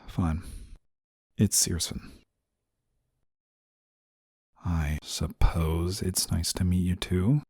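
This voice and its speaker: A man playing an exasperated, rule-bound but soft-hearted guardian angel in a scripted audio roleplay, scolding in a weary, formal way. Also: sits low, breathy edge, no echo, studio quality, full character voice. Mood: exasperated, grudging. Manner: weary, scolding, formal wording, sighs often.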